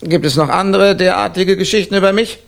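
An actor in a German radio play playing an eccentric, haunted Parisian composer who has lost his memory, theatrical and given to swings between hushed musing and outbursts. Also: sits high, fairly even intonation, fairly quick, creaky. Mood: agitated, probing.

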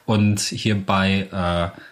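A man's voice: deep voice, little pitch movement, extremely slow. A man co-hosting a podcast in casual chat about games, rambling with many fillers and restarts, a little under the weather with a cold. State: has a slight cold. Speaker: podcast co-host.

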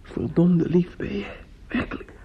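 Distraught young man, slow, stammering, hushed, intimate radio drama, muffled old recording. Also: high voice, steady tone, vocal fry, breathy edge, exaggerated voice. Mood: tearful, affectionate. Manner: halting, stammering, repeating himself, breaking into whispers. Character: grief-stricken, restless young man, bitterly affectionate. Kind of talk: intimate radio play acting.

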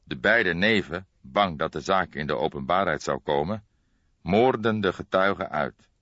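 A man reading a detective audiobook as narrator, in measured, dramatic storytelling. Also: deep voice, animated, a bit bright. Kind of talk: scripted narration of a detective novel. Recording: boxy, echoey, dull recording.

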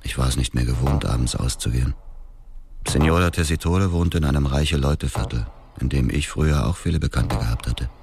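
A grieving, vengeful man in a radio play, with a very deep bass voice. He is terse, cold and guarded. Background ambience is clearly audible. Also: brisk, background noise. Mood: cold bitterness. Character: bitter, vengeful grieving man, coldly calculating. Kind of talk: radio drama, dialogue and first-person narration.

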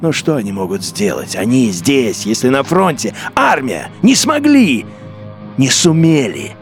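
A man narrating a radio drama in a bitter, measured inner-monologue voice. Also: medium pitch, huge pitch swings, faint music behind.

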